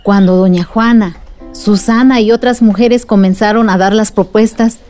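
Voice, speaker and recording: A woman playing a patient villager telling a story in a radio drama. Her voice is mellow and gentle, with faint music behind. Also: medium pitch, fairly even intonation, moderate pace, lightly acted. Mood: patient, reassuring. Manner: gentle, explanatory. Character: patient woman villager recounting women's struggle to a child.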